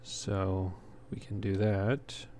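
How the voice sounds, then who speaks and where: A man giving casual gameplay commentary in a halting way, as if thinking aloud while he plays. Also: lowish voice, little pitch movement, darkish tone. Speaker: let's player, host. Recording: clear background noise.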